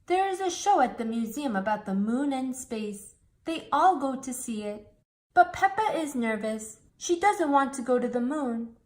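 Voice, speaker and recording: A woman reading a children's storybook aloud, slowly, clearly and expressively. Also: high voice, lively intonation. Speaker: storybook reader.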